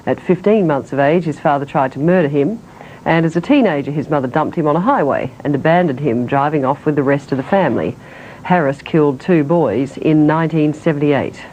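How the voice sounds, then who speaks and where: An Australian woman anchors the late news with measured, formal reading. Her voice sits low and mellow. A faint hiss runs under this old broadcast recording. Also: poor audio. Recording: faint noise, early-1990s TV broadcast.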